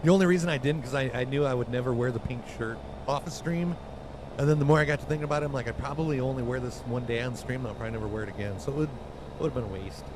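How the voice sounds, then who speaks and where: A man's voice: medium pitch, rapid-fire, background noise. A man hosting a live stream while driving in a truck game, chatting casually with blunt talk and lots of fillers. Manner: rambling, filler-heavy, blunt.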